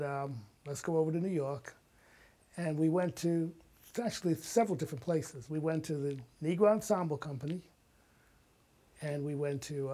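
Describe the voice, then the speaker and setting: An older man talking casually as an interview guest, telling stories in an animated, enthusiastic way. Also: on the high side. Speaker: guest, writer and filmmaker.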